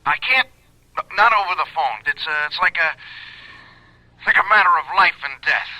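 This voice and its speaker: Desperate young male student, radio play, stammering, high-pitched, dry telephone-filtered sound. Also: expressive, sharp tone, no room sound, low-quality recording. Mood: anxious, desperate. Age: young adult. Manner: halting, stammering, urgent. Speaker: radio drama actor. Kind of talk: old-time radio drama acting.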